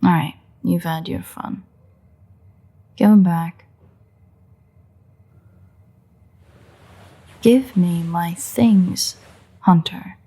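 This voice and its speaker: A woman playing a sardonic, predatory vampire in an intimate roleplay audio drama, with a husky, breathy voice and a playful, drawling delivery. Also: on the low side, takes it slowly, warm tone, heavily acted. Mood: exasperated. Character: teasing, unrepentant female vampire, sardonic and predatory.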